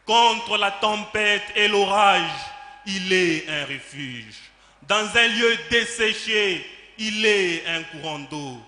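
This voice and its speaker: Male spoken word poet, sharp bright voice, slow solemn declamation of religious verse, reverberant hall. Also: high voice. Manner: solemn, declamatory, deliberate with dramatic pauses. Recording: echoey reverberant hall, faint noise.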